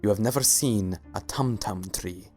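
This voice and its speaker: A man plays a regal alicorn sultan in an audio story. The voice is low, with courtly, measured, polite phrasing and faint music underneath. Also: warm tone, close to an everyday voice. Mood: amused, charming. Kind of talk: fan-fiction dramatic reading.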